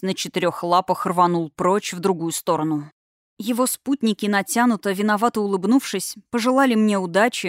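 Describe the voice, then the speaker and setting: A woman reading a fantasy novel as an audiobook narrator, in an expressive scripted storytelling style. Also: mid-range voice, no echo, studio quality.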